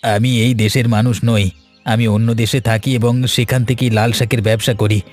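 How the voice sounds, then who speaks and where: A man's voice: medium pitch, level tone, fairly quick, faint music behind, lightly acted. A man voicing a weary, grateful shipwrecked merchant in a cartoon story, recounting things solemnly. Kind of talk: Bengali cartoon story dialogue.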